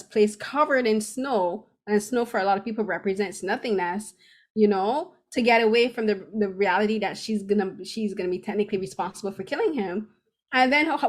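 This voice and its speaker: A woman from Barbados, hosting a casual podcast chat and talking in an animated, rambling way full of fillers and restarts. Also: mid-range voice. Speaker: podcast host. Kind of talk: casual chat about a TV drama.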